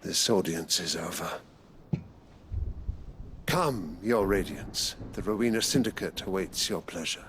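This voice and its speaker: Older emperor, video game drama, low pitch, dramatically swinging intonation, wrathful, imperious. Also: male voice, lively intonation, measured pace, long pauses, a bit bright, slight background noise. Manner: imperious, pompous.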